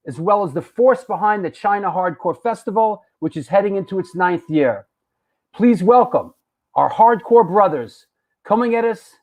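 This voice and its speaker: Joking high-voiced New host. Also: male voice. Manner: loose, joking, repeats himself. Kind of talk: live show chat.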